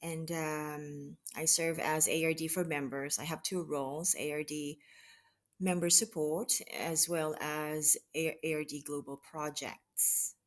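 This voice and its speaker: A woman from the Philippines answering interview questions in an unhurried way, pausing often with fillers and restarts. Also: low-pitched, flat delivery. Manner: hesitant, many restarts and fillers. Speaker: guest, mission associate regional director. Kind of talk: conversational interview.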